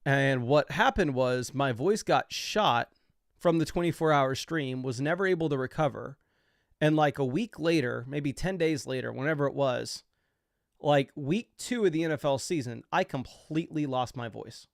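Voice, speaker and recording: A man's voice: medium pitch, moderate pace, vocal fry, dry recording, clean studio sound. A young man hosting a fantasy football livestream, talking loosely and casually with lots of fillers as he explains his picks.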